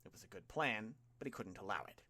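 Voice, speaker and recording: A man narrates a piece of fiction in a fairly low voice. He reads from a script as an audiobook narrator, with expressive delivery. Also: quick pace.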